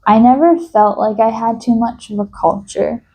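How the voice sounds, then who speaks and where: A young woman from New York talks in a slow, reflective personal monologue, thoughtful and hesitant, with many fillers and restarts. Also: fairly high pitch, fairly even intonation, a little mellow, husky, low-quality recording. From United States. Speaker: vlogger.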